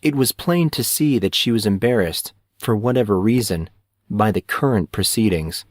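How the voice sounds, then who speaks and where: A man reads fiction in a fairly low voice, as scripted dramatic narration. The sound is dry, with very little room. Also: expressive, moderate pace, no room sound. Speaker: audiobook narrator.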